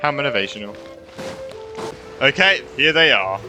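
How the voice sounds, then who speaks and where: Bright, animated young male gamer. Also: medium pitch, normal speed, faint music behind, poor audio. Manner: blunt slangy exclamations. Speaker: gaming streamer. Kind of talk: casual reactions while playing a game.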